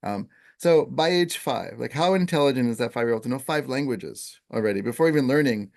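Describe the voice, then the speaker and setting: A man who teaches Ayurveda, explaining in a relaxed, conversational way with plenty of fillers. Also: medium pitch, a little fast, creaky. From Italian first language. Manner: relaxed, filler-heavy, chants Sanskrit verses.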